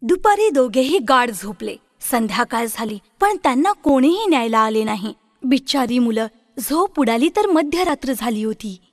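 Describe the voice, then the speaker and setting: A woman's voice: fairly high pitch, big pitch swings, normal speed, no room sound, studio quality. A woman reading a scripted fairy tale for children as the storyteller.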